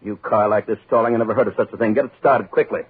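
An actor playing a bullying, bad-tempered middle-aged publisher, barking imperiously in 1940s radio style. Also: male voice, on the low side, fairly even intonation, racing, husky. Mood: irate. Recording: scratchy, noisy narrowband 1940s radio recording.

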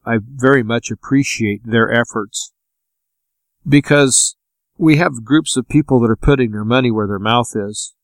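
A man speaks at a middle pitch in a dry, close recording, as a radio host would. He explains practical tips informally, in a plain-spoken and unhurried way. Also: dry recording. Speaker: radio show host giving advice.

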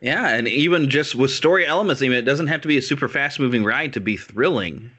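Animated, bright-voiced male host, stuffy nose. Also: mid-range voice, fast talker, husky. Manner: animated, laughs often. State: congested nose. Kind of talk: casual chat.

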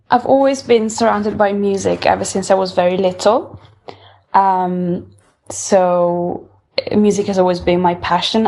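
A woman's voice: on the low side, darkish tone. A woman in her twenties from Italy with an Italian accent, answering casual interview questions somewhat hesitantly. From northern Italy. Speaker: singer-songwriter being interviewed.

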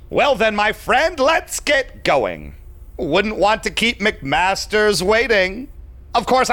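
Man playing a sly, bright-voiced vampire schemer. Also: high voice, no echo, full character voice. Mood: charming. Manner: theatrical, sly, mock-polite. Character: shady, smug male vampire businessman, smooth schemer. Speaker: narrating lead character. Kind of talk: first-person audio drama narration.

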